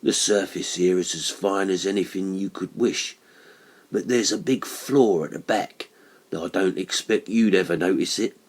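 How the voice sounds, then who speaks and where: A man's voice: sits low, steady tone, normal speed. A man voicing a big, friendly working-class stonemason with a blunt, colloquial, chatty manner, in an audiobook horror story. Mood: matter-of-fact, grumbling.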